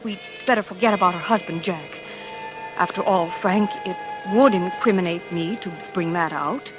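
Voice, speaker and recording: High-voiced earnest young wife, actress in vintage radio drama. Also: female voice, close to an everyday voice. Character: anxious devoted young wife playing coy amateur sleuth. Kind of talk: 1940s radio acting. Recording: muffled vintage radio recording with music.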